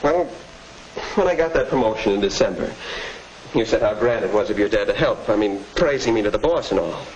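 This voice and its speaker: An American actor in his twenties playing a sincere, proud young husband, earnest and a little halting, in a 1950s educational film. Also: fairly low pitch, expressive, a little mellow, lightly acted. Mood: hurt, hesitant. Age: 20s. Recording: muffled, noisy vintage 1950s film soundtrack.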